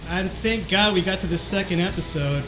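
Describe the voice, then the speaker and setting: A man's voice: high-pitched, fairly quick, poor audio. A man co-hosting a band podcast in casual chat, with a light, trebly voice and a hesitant, self-deprecating way of talking full of restarts. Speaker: podcast co-host, bass player.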